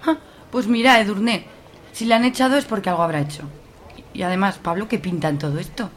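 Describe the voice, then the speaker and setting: A woman's voice: mid-range voice, brisk, close to an everyday voice. Radio soap: actress as snobby young posh girl, indignant and whining, mid-range female voice over ambient noise. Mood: disdainful. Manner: indignant, whiny, disdainful. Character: snobbish, fussy, complaining posh young woman. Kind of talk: amateur radio soap acting. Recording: clear background noise.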